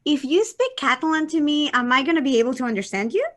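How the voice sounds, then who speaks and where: A woman's voice: high-pitched, big pitch swings, quick pace. A woman from Venezuela, hosting and asking friendly follow-up questions. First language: Spanish. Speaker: host. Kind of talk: casual interview.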